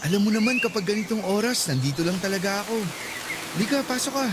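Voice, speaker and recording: A man's voice: sits high, lively intonation, quick pace, very noisy. An actor playing an earnest, lovesick young man in a Tagalog radio romance drama. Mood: puzzled concern. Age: young adult. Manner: pleading, earnest. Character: lovesick young man, pleading suitor and caring boyfriend. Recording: loud music behind the voice. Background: loud music.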